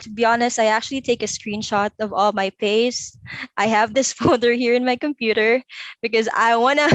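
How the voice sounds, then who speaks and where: Young woman, chatty, fairly high voice, dry close sound. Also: no echo, poor audio. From Philippines. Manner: chatty, laughs often. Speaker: interview guest. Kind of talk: casual online interview. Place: home room.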